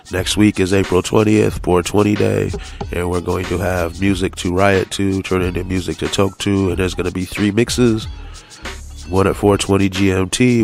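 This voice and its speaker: Stuffy, gravelly low-voiced man. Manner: rambling, trails off with fillers. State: stuffy from allergies. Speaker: podcast host. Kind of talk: casual chat.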